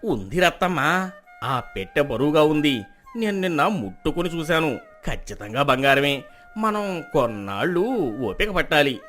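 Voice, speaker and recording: An actor playing a miserly, crafty village husband who feigns devotion, speaking with theatrical emphasis in a moral tale. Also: male voice, fairly high pitch, normal speed, soft background music. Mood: greedy, patient. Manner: animated, emphatic, theatrical storybook dialogue.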